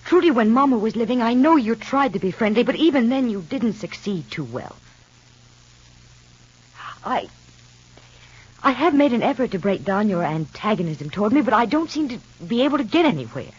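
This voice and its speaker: An actress in an old radio soap opera playing a frank, insistent, unhappily married woman, speaking earnestly and deliberately. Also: a little high, sing-song, slightly rough. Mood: weary, troubled. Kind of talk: 1940s-50s radio soap opera acting. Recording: muffled old radio recording.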